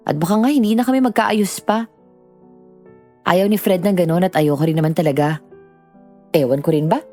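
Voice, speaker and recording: A woman's voice: deep voice, dark tone, natural voice. A woman playing a young letter-writer, narrating her story in a subdued, confessional way with a Filipino accent. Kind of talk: first-person scripted horror-story narration. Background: music.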